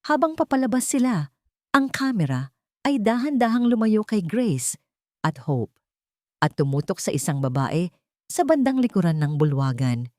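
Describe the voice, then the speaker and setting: A woman's voice: mid-range voice, big pitch swings, normal speed, pauses often, a little mellow, dry recording, clean studio sound. A woman narrating a scripted audio drama in a solemn, sentimental storytelling manner.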